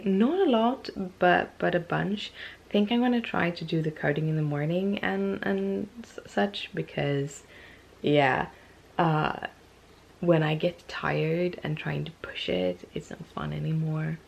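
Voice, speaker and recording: A woman's voice: on the low side, unhurried, vocal fry. A woman vlogging casually to her camera, stuffy and losing her voice, rambling with many ums and restarts. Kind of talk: casual talking-to-camera vlog.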